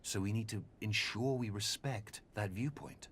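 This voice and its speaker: A man playing a measured, earnest researcher who speaks articulately and deliberately in a conversational audio drama. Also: lowish voice, crowd noise, natural voice. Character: measured, earnest male researcher debating philosophy.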